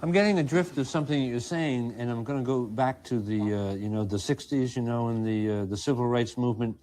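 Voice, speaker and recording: Measured male interviewer. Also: medium pitch, dark tone, rough recording. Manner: measured, hesitant questioning. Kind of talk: archival TV interview.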